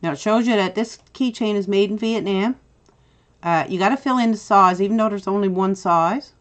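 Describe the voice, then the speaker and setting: A middle-aged woman with a Newfoundland accent, chatty and step-by-step as she hosts a software tutorial. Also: mid-range voice, normal speed. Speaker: tutorial host. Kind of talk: screen-recorded software tutorial.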